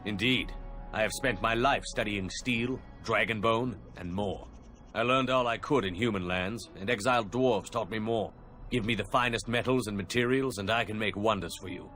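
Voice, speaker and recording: An actor playing a proud dwarf blacksmith who speaks in a grave, formal way. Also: male voice, on the low side, normal speed, a bit bright, close to an everyday voice. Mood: solemn. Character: proud solemn male dwarf smith. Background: game music.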